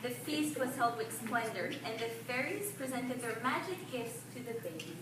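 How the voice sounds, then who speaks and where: A high-pitched woman narrates a children's puppet show in an instructive way. She sounds distant in a very echoey room. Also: lively intonation, moderate pace. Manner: instructive, prompts listeners with questions. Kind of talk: storytelling to young children. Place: public library event room. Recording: echoey room from far off, noisy.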